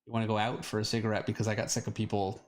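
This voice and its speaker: A man's voice: lowish voice, flat delivery, racing. A Canadian man talks through his drawing on a live stream in a loose, rambling way, with plenty of fillers and restarts. A faint hiss of noise sits behind his voice. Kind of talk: casual chat while drawing.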